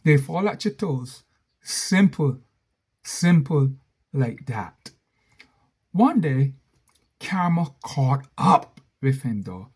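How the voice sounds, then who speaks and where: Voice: mid-range voice, very animated, drawn-out words, pauses often, a little mellow, husky. A storyteller, telling a cautionary tale with emphatic repetition and asides to the listener. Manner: emphatic, repeats key phrases, asides to listener.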